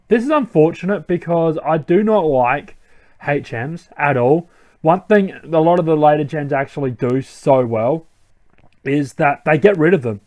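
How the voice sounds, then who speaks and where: A man's voice: a little high, a little mellow. A young man hosting a let's play, giving casual commentary and thinking aloud with many ums.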